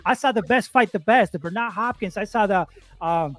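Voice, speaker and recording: A man's voice: high voice, fairly quick, fairly bright tone. A man in his forties arguing boxing, insistent, repeating his points and stumbling over words. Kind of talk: heated boxing argument.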